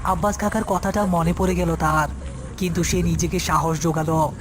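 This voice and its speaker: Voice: mid-range voice, steady tone, moderate pace, background noise. A narrator reading a scripted horror story in a storytelling style.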